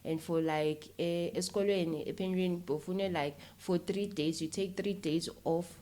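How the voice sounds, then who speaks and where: A woman with a South African accent chatting casually and telling stories as an interview guest, in an informal, animated manner. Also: lowish voice, normal speed. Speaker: interview guest, actress and filmmaker. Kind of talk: casual conversational storytelling.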